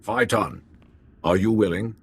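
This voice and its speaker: An actor playing a commanding alien family elder in a video game, with terse, formal declarations. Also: male voice, on the low side, a little slow, breathy edge. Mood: grave.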